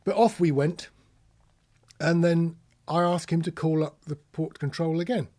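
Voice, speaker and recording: A man's voice: fairly high pitch, fairly even intonation, measured pace, warm tone. A British man giving an informal, practical tutorial, explaining things plainly with anecdotes as an instructor. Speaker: marine radio instructor.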